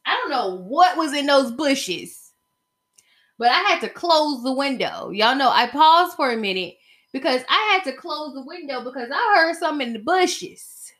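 The sassy American woman you'd hear on a homemade solo podcast late at night, slangy and rambling, with a bright, forward voice. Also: high-pitched, lively intonation, normal speed. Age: 30s. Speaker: podcast host. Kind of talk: casual solo podcast chat.

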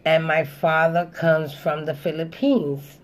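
Low-voiced middle-aged Bronx woman. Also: fairly even intonation, takes it slowly. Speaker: guest. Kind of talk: casual chat.

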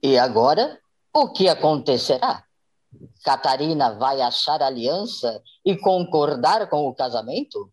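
A woman's voice: deep voice, sing-song, unhurried, darkish tone, slight background noise. A woman narrating a radio soap as a dramatic scripted announcer.

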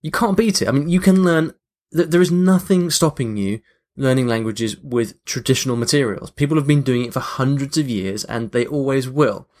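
A man's voice: mid-range voice, moderate pace, few pauses, a little mellow. A man hosting a podcast in a casual, chatty monologue, reflective and emphatic on key points. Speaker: podcast host.

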